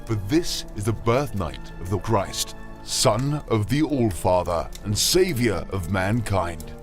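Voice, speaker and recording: A man's voice: fairly low pitch, animated, takes it slowly, faint music behind. A man playing a fervent, solemn missionary priest in an audio drama, declaiming in formal archaic speech. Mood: solemn, commanding. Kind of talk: audio drama acting of a classic tale.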